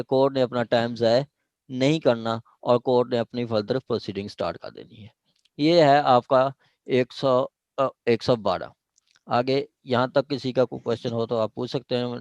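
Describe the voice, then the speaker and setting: A man's voice: mid-range voice, a little mellow, no room sound, dull audio. A man with a Pakistani accent gives an informal law lecture, explaining point by point with repetition and English legal terms mixed in. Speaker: law teacher. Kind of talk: informal lecture explaining statute clauses to a class.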